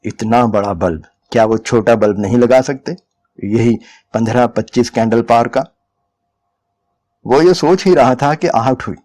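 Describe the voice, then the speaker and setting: A man's voice: medium pitch, unhurried, darkish tone. A man reading a short story aloud as a dramatic, scripted narration.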